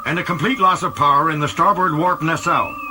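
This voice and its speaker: A man's voice: on the high side, steady tone, quick pace, background noise, voice pushed up, lightly acted. A man voicing a dutiful, grim starship officer who reports in a clipped military way in a science fiction audiobook. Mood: grim, urgent. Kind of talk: audiobook character dialogue.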